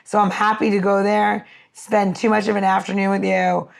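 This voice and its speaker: A woman's voice: mid-range voice, level tone, normal speed, vocal fry. Informal, playful woman podcast host. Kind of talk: casual chat with recipe walk-through.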